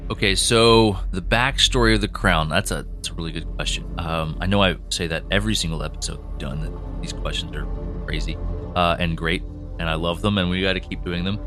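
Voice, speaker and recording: A man with a lowish voice chats casually about his own fiction, building excitement as he lays out its mysteries. He leans on fillers and tag questions. A faint music bed sits under him. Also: fairly quick. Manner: enthusiastic, animated storytelling with many fillers. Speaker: podcast co-host, show creator. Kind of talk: casual behind-the-scenes chat.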